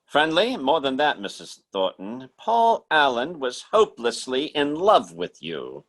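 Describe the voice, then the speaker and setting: An actor playing an earnest, insistent telepathic stage mentalist in a radio mystery, speaking in a dramatic, halting, emphatic way. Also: male voice, mid-range voice, huge pitch swings, a bit bright, full character voice. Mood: probing, solemn. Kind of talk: old-time radio mystery drama acting.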